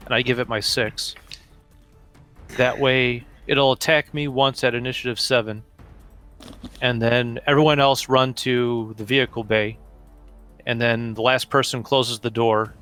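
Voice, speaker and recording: A man of middling pitch talks casually through his moves as a player in a tabletop game. Faint music plays behind his voice. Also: fairly bright tone.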